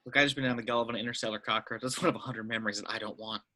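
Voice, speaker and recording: Brief: man as seasoned secret agent, weary and deadpan, few words, crisp forward tone, home script read. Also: mid-range voice, rapid-fire. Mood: weary, instructing. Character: terse, weary veteran male secret agent. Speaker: amateur actor in a table read.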